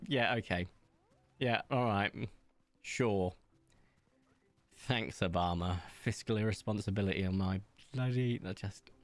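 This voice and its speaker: A man streaming from home, chatting casually in a rambling, self-mocking way and pausing often. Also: medium pitch, fairly bright tone, dry recording. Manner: rambling, self-mocking, hesitant with many ums. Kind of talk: casual chat reading viewer comments while playing.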